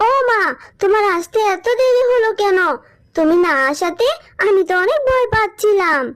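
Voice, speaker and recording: Woman playing small village girl, very high-pitched, childlike, sweet, timid, cartoon. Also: lively intonation, moderate pace. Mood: happy, grateful. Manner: childlike, plaintive. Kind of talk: Bengali children's cartoon dub.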